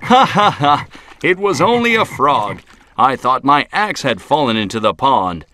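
A man's voice: on the high side, sing-song, measured pace, sharp tone, voice pushed up, exaggerated voice. A man voicing a big, honest lumberjack in a storybook, exclaiming earnestly. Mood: amused.

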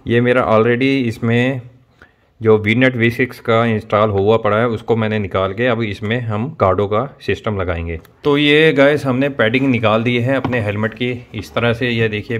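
A man's voice: lowish voice, fairly even intonation, moderate pace. A man in his thirties explaining things step by step in a casual tutorial vlog. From India. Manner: explains step by step, addressing viewers. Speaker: motovlogger presenting a product installation. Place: small indoor room at home.

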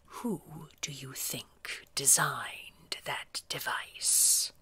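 A woman performs a menacing female boss for an audio roleplay. Her voice is deep and very husky, nearly all breath, and she takes her time. She chooses formal, precise words with cold condescension. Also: extremely slow, pushed and bright, whispered, full character voice. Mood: withering, suspicious. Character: scary, condescending female boss at a secretive organization. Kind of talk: scripted audio roleplay drama.

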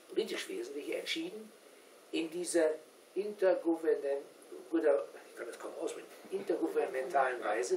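Older man lecturer in a roomy recording. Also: medium pitch. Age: older, 60s or 70s. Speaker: guest lecturer. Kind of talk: discussion after a talk, explaining. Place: small indoor meeting room with seated audience. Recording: roomy, distant camera mic, background hiss.

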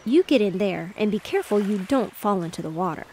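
A woman reading aloud, voicing an excitable, bossy young boy who plays ship captain. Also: medium pitch, brisk, a little hiss or hum, natural voice. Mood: eager. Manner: eager and insistent.

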